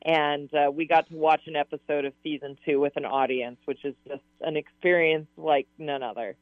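Interview guest, woman, a bit hoarse, eager and chatty, comes through a dry, phone-quality line. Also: sits low, fairly even intonation, moderate pace, no room sound. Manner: enthusiastic, laughs often, talks in long rambling sentences. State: slightly hoarse. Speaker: science advisor and author, interview guest. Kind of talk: casual radio interview answers.

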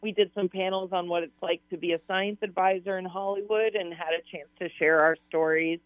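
Slightly hoarse woman on a tinny line. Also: on the low side, fairly even intonation, a little fast, no room sound. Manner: enthusiastic, laughs often, talks in long rambling sentences. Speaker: science advisor and author, interview guest. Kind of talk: casual radio interview answers. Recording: tinny phone-line audio, dry.

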